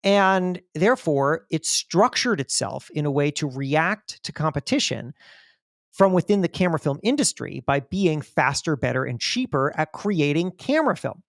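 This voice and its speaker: Emphatic male storyteller with creaky voice. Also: a little high, expressive, moderate pace, no echo, clean studio sound. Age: middle-aged. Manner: emphatic, repeats phrases for effect. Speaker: podcast guest, magazine editor and author. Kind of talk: animated storytelling in an interview.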